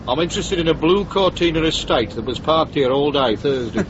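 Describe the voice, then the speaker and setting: An actor playing a hard, impatient police sergeant with an English accent, curt and menacing, in a radio drama. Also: male voice, a little high, expressive, fairly quick, lo-fi sound, background noise, lightly acted. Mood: blunt, menacing.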